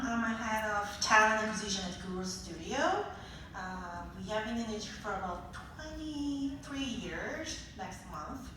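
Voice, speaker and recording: Woman, recruiter, earnest panel intro, mid-pitched, booming hall. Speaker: talent acquisition head. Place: conference hall. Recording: echoey hall, distant mic, noisy.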